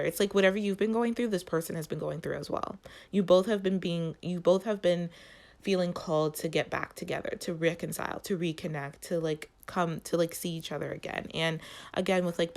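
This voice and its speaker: A woman's voice: lowish voice, a little mellow. A woman hosting a tarot reading for her viewers, sounding nasal from allergies and often stumbling as she searches for words. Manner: halting, searching for words, many "like" fillers. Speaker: tarot reader and channel host.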